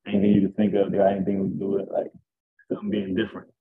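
Young male rapper guest, deep gravelly voice, laid-back and boastful, heard over a thin call line. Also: monotone, rapid-fire. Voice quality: deep raspy voice. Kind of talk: casual interview. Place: indoors at home. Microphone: video call. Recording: tinny video call audio.

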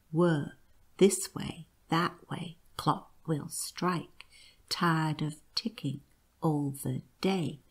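A woman's voice: sits low, breathy edge, exaggerated voice. A woman voicing an odd, droll and cranky old godfather in a storybook reading. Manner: grating, monotonous chanting when reciting.

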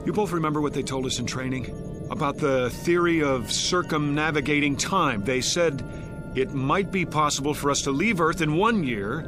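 An actor playing a stubborn, commanding spaceship captain in a radio drama, speaking with insistent authority. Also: male voice, a little high, normal speed, close to an everyday voice. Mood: intense, reasoning. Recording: classical music playing behind the voice.

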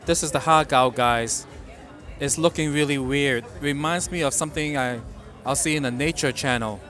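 A man's voice: mid-range voice, moderate pace, soft background music. Wry middle-aged man in a dim restaurant. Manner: wry, deadpan remarks about prices. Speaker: food vlogger. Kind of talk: casual restaurant review vlog. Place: dim restaurant booth, indoors.

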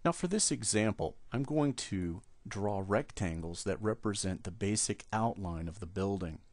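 A man with a low voice talks through a software tutorial, explaining each step in a steady way. The recording is dry and close to the mic, as if made at a desk over a screen capture. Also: normal speed, no room sound. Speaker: software trainer.

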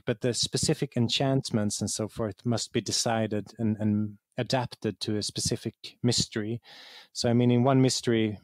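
Male interview guest, mid-range voice, halting and self-correcting, very dry recording. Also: mid-range voice, no echo. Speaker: guest, game writer. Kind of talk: conversational interview explanation.

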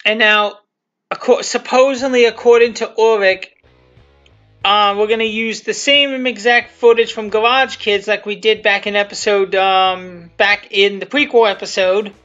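Rambling, bright-voiced commentator over music. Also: medium pitch, fairly even intonation, a little slow. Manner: rambling, repeats and rephrases, mild swearing. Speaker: creator giving commentary on own fan video. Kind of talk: casual off-the-cuff commentary. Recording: dull lo-fi audio with music behind. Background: music and quiet cartoon soundtrack.

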